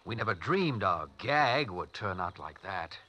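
A sorry young prankster. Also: male voice, sits low, unhurried, exaggerated voice. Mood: apologetic. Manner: apologetic, earnest, lively. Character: young man, remorseful prankster friend. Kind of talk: 1940s radio drama acting.

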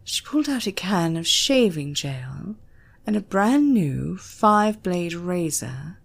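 Slow, expressive British woman narrating. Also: fairly low pitch, creaky. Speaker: audiobook narrator. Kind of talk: scripted dramatic narration of fiction.

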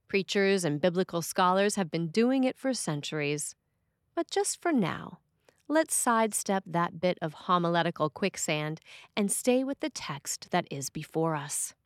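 A woman priest reading a scripted sermon in a measured, expressive way. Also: medium pitch, no room sound, studio quality.

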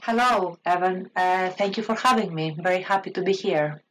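Low-voiced woman with Greek accent. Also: normal speed, slight background noise, low-quality recording. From Greece, Crete. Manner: animated storytelling with laughs and restarts. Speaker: guest, art historian. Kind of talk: interview conversation.

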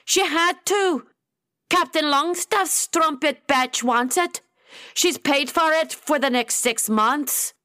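Woman doing a high, blunt Scottish woman. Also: takes it slowly, sharp tone, no echo, clean studio sound, heavily acted. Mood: blunt, annoyed. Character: Scottish woman, urgent, blunt bearer of bad news.

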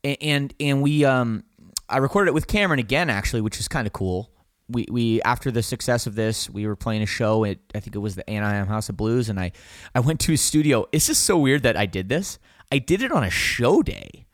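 A man's voice: medium pitch, expressive, a little fast, dry recording, clean studio sound. A man, a band's singer, talking casually and frankly in an interview, often restarting his sentences. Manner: rambling, self-correcting, frank. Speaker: band vocalist guest.

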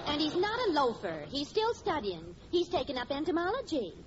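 A woman's voice: high voice, very animated, normal speed, a loud crowd. An actress playing a sensible, exasperated middle-aged wife and mother, reasoning and scolding in a 1940s radio sitcom. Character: sensible, exasperated housewife and mother, defending young love. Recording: muffled old radio recording, loud noise.

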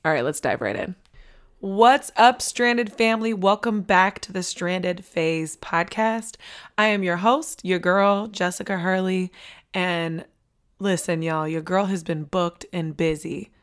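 A woman hosts a motivational podcast, hoarse from losing her voice, energetic and preachy, repeating key lines for emphasis. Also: on the low side, measured pace. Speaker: podcast host.

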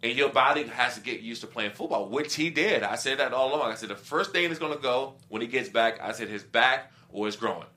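Man, middle-aged, bright tone, former football player, casual sports chat, explains with quoted asides. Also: medium pitch, fairly quick, few pauses.

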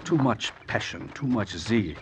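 Stately low-voiced exiled revolutionary leader. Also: male voice, lively intonation, measured pace. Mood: genial, courtly. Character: aging exiled Russian revolutionary leader, vain yet genial. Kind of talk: old-time radio drama acting. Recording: vintage radio recording with faint noise.

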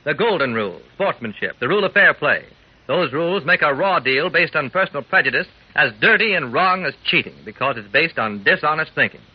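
A dull vintage radio recording of a male announcer addressing young listeners. He is earnest and folksy, with a husky, very animated delivery. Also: a little high, a little fast. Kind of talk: 1940s radio scripted talk. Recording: muffled old radio recording.

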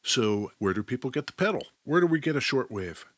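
Animated man hosting, lively pitch. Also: brisk, no room sound. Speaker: podcast host. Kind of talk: casual interview chat.

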